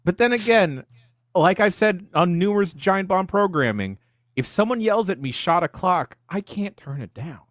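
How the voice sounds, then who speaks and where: A man co-hosting a podcast, telling stories and bantering casually in an animated, self-deprecating way. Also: high-pitched, moderate pace, dry recording, clean studio sound. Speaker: podcast co-host.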